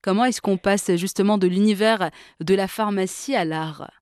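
A woman's voice: mid-range voice, normal speed, a little mellow, smooth, dry recording, studio quality. A woman hosting an interview, asking questions and affirming with brief interjections. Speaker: interview host.

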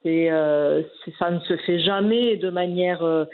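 A woman's voice: fairly low pitch, measured pace. A woman in her forties giving an interview, talking in a hesitant way with many fillers and restarts. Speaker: guest. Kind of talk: conversational interview. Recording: phone-like narrow band.

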